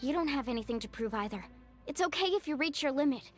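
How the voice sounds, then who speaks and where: A woman's voice: high voice, animated, fast talker, faint music behind. A woman playing a caring, level-headed young warrior in a fantasy video game, reassuring yet firm.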